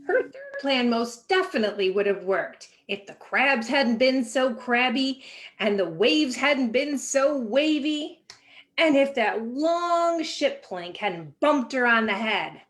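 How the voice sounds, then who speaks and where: A woman reading a children's picture book aloud in an expressive, animated way with dramatic pauses. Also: on the high side. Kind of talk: expressive children's storybook narration.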